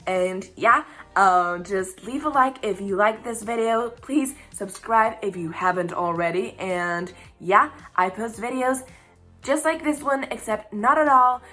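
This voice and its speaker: A young woman from Spain, a native Spanish speaker, chats through a casual Q&A in English. She rambles, laughs often and keeps an easygoing, talky flow. Her voice is bright and clear, with faint music playing behind her. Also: mid-range voice, measured pace. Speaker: YouTube channel host. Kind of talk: casual Q&A vlog.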